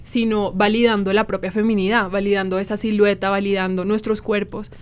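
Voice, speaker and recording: A woman's voice: mid-range voice, flat delivery, a little fast, smooth. A woman hosting a conversational discussion, emphatic and repeating phrases for emphasis.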